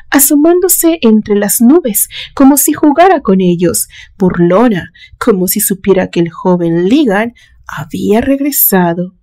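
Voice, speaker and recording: A woman reads a gothic suspense story as its narrator. Her voice is mellow and medium in pitch, and her delivery is solemn and dramatic. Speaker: narrator, literary channel host.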